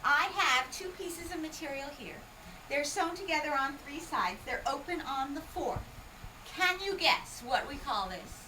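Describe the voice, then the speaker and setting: A woman's voice: high voice, a little slow. A woman from Hawaii performing magic, teasing and quizzing a small parlour audience with comic patter. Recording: distant mic with steady background noise.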